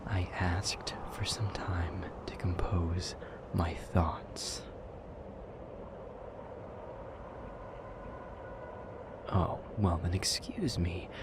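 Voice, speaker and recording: A man playing a brooding, wounded demon lover in an intimate audio roleplay, speaking in a hushed, breathy, measured way. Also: low-pitched, fairly even intonation, in a whisper. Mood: bitter, sarcastic.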